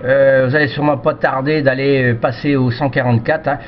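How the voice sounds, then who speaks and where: Male radio hobbyist, mid-pitched, polite and hesitant, casual rambling chat, muffled lo-fi sound with slight noise. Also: steady tone, brisk. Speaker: amateur CB radio operator. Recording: dull lo-fi radio audio with noise.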